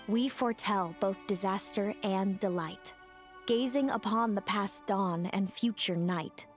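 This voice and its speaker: A woman's voice: medium pitch, lightly acted. A woman playing a guarded, world-weary fae seer in a video game, cool, dry and ominous. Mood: solemn, poetic.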